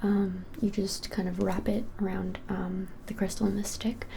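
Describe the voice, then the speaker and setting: A woman in her twenties whispering softly and gently in an unhurried ASMR shop roleplay. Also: mid-range voice, flat delivery, slight background noise. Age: 20s. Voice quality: soft, breathy whisper. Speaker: ASMR creator playing a witch shop keeper. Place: small indoor room, plain wall.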